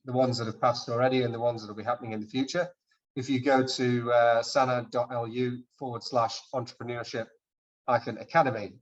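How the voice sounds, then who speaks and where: A man moderating an online webinar, speaking in a measured, polite way with small restarts. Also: medium pitch. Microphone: video call. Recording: dull lo-fi call audio.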